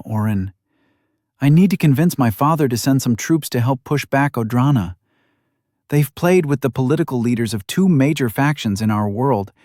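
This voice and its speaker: A man voicing a determined young noblewoman in an audiobook, brisk, practical and self-assured. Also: mid-range voice, a little mellow, dry recording, studio quality, pitched above the performer's own voice, natural voice.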